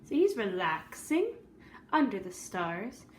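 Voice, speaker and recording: A woman reading a picture book aloud to young listeners, expressively, pausing to explain and ask questions. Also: medium pitch, big pitch swings, a little hiss or hum. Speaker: read-aloud storyteller.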